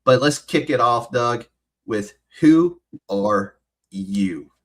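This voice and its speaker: A man's voice: medium pitch, lively intonation. Dramatic podcast host man. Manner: dramatic, measured delivery in the intro. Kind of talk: scripted intro, then casual interviewing.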